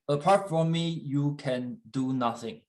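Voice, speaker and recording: Non-native English-speaking man preaching haltingly. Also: on the high side, a little mellow. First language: not English. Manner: halting, with frequent fillers and restarts. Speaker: guest church leader. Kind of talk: sermon in English with live interpretation.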